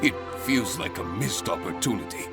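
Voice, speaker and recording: An actor playing a cheerful, theatrical adventurers' guild examiner in a video game, announcing formally and with enthusiasm. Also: male voice, lowish voice, animated, moderate pace, music in the background. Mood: playful, impressed. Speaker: exam host. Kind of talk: video game character dialogue.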